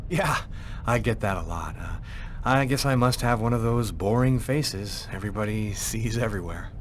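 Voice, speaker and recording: A man's voice: on the low side, moderate pace, a little hiss or hum, close to an everyday voice. An actor playing a guarded, earnest man on the run in a video game, speaking in a subdued, serious way. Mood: guarded, polite. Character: guarded, earnest male fugitive posing as a journalist. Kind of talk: naturalistic video game acting. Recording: music behind the voice.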